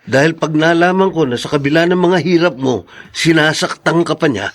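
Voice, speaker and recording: A man's voice: a little high, moderate pace, no echo, natural voice. A man playing a caring, protective elder brother in a Tagalog radio drama, speaking with earnest concern.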